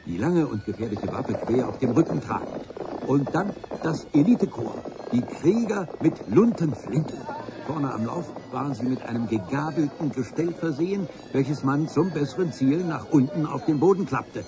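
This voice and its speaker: Ein Schauspieler spielt einen hochtrabenden Abenteurer, der im Hörspiel feierlich und wortreich von seiner Expedition erzählt. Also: male voice, medium pitch, lively intonation, normal speed, music in the background, natural voice. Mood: grandiloquent. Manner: pompous, ornate storytelling. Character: grandiloquent male adventurer recounting his expedition. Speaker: narrator. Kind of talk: dramatic first-person radio play narration.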